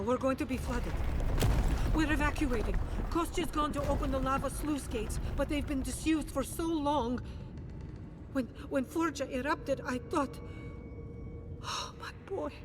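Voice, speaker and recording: An actress playing an anxious, devout leader of an endangered keep, earnest and formal, in a video game cutscene. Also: female voice, measured pace. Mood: anxious, urgent. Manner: earnest, urgent, emotive, formal. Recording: clear rumbling ambient noise behind.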